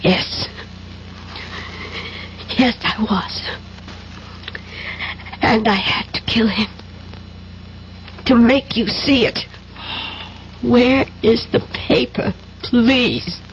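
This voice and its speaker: A woman's voice: a little high, very slow, long pauses, a little hiss or hum. An actress playing a cool, worldly American female double agent in a radio drama, with a knowing, dramatic delivery. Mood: urgent, confessing. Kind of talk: 1970s radio drama acting. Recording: muffled old radio broadcast with music.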